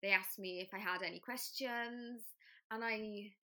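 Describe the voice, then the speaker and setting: Welsh woman, young adult, bright tone, chatty, lots of ums. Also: medium pitch, fairly even intonation, measured pace, slight background noise. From Wales. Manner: friendly, chatty storyteller with many fillers. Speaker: guest, paediatric trainee doctor. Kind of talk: casual interview chat.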